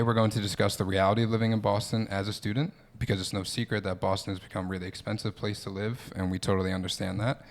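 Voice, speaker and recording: A young man from Philadelphia hosting a student podcast, talking casually with many "um"s and "like"s. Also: lowish voice. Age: young adult. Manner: relaxed, with frequent "um" and "like". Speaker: podcast host, optometry student.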